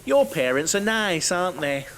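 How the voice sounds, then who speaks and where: A guileless bachelor in a radio comedy, high-pitched and very animated. He rambles and overshares like a lonely mummy's boy, over faint ambient noise. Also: moderate pace. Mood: reminiscing, rambling. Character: chatty, naive, lonely middle-aged bachelor, mother-obsessed coach passenger. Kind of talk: audio drama comedy acting.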